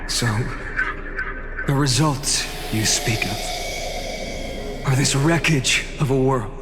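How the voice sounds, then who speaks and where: An actor voicing a grave, weary male companion in a game cutscene, speaking quietly and measured. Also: medium pitch, long reverb tail, noisy background, close to an everyday voice. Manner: quiet, measured, half-whispered delivery.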